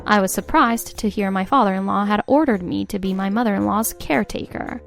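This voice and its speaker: A woman's voice: mid-range voice, a little fast, gravelly, close to an everyday voice. An actress playing a fed-up young wife who narrates her own story in an exasperated, sarcastic way, with faint music behind. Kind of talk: scripted narration.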